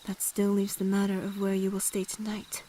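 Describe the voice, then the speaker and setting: A woman playing a mysterious forest woman in an audio drama, speaking in a formal, measured, old-fashioned way and calmly giving instruction. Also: medium pitch, slight background noise, close to an everyday voice, rough recording.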